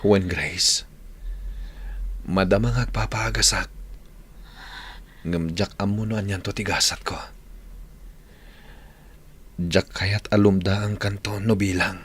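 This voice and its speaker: An actor with an Ilocano accent playing an ailing, regretful young man in a radio drama, weak, breathy and pained. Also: low-pitched, steady tone, takes it slowly, pauses often, fairly bright tone, heavily acted. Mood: weak, sorrowful. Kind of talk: Filipino radio drama acting. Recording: music bed under the voice.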